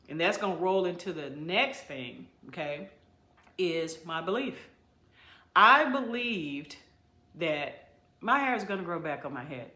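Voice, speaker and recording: A woman's voice: fairly low pitch, sharp tone. A middle-aged woman giving haircare advice straight to the camera, emphatic and preachy, repeating key phrases and calling her viewers y'all and you guys. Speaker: haircare specialist. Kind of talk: direct-to-camera advice talk. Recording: echoey room.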